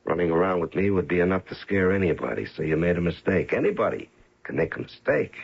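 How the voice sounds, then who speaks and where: An actor playing a cold, controlled hitman in an old-time radio drama, interrogating with soft, coaxing menace. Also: low-pitched, expressive, fast, gravelly, slightly rough, exaggerated voice. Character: cold, menacing professional hitman confronting his betraying girlfriend. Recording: muffled old radio broadcast recording.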